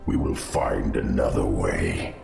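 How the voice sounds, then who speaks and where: An ancient undead spider lord with an extremely low, crackling voice. He speaks solemnly and formally, like a grave guide in a fantasy game, with an echo around every word. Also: expressive, slow pace, darkish tone, heavily acted. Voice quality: gravelly, monstrous rasp. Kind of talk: video game cutscene acting. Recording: echoing voice over faint music.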